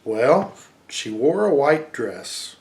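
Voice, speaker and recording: A man reading a storybook voices an earnest, defensive little boy who speaks haltingly and insistently. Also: medium pitch, sing-song, darkish tone.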